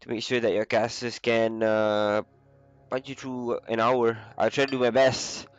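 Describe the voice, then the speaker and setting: A young man with the flu, casually commentating a video game on his own, with a lot of filler pauses. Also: medium pitch, flat delivery, clean tone, lo-fi sound. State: sick with flu. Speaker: esports caster.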